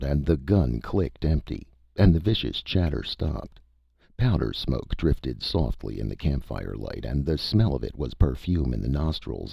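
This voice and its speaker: A man's voice: rumbling low, vocal fry, breathy edge, no echo, lo-fi sound. A man narrating a scripted story as a dramatic audiobook reader.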